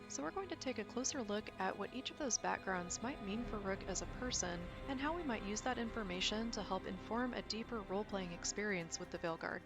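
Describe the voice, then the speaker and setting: A woman's voice: mid-range voice, gravelly. A woman giving a casual, explanatory talk as a gaming video host, chatty and informal with self-deprecating asides. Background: music.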